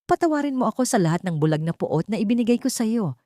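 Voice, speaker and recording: A woman's voice: on the high side, sing-song, fast, dark tone, dry recording, clean studio sound, voice pushed up. Woman voicing an anguished, remorseful father. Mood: broken remorse. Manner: emotional, pleading, dramatic. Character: anguished man in wheelchair, remorseful father, fiercely resolute.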